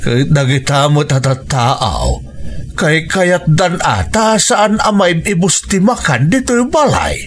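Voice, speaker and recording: Exasperated family man, radio drama, higher voice, very lively swings, emphatic drawn-out exclamations.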